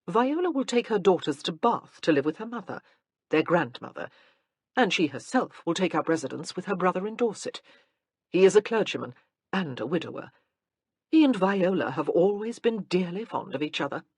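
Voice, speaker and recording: A woman plays a kindly middle-aged duchess who explains family matters gently. Her storybook voice moves a lot in pitch, slightly husky and dry. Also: very animated, moderate pace, no echo, exaggerated voice. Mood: reassuring.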